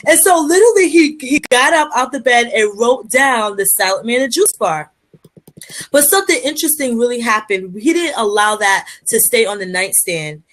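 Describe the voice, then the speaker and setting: A woman's voice: fairly high pitch, animated, normal speed, a bit bright, rough recording. A woman from Liberia, a public speaker, answering interview questions in an earnest, motivational way with frequent fillers and restarts.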